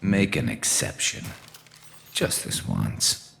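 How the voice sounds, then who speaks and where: Very deep, gravelly alien vigilante. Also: male voice, very animated, slow pace, fairly bright tone, heavily acted. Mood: quietly menacing. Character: alien vigilante ex-cop, male, cool, grimly determined. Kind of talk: video game acting.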